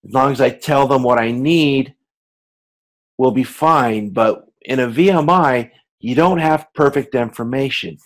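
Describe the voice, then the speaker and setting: A man lecturing in a conversational, explanatory way, speaking directly to listeners with hesitations, repeated words and self-corrections. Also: mid-range voice. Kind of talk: informal lecture on business.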